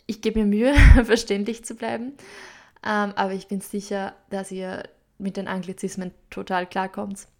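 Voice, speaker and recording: A young woman with an Upper Austrian accent talks through her podcast in a loose, chatty way. Her pitch stays fairly level, and she fills pauses with small hesitations and drops in English words. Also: little pitch movement, a little mellow. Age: 20s. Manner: chatty, many fillers, mixes in English loanwords. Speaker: podcast host. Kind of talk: casual solo podcast talk.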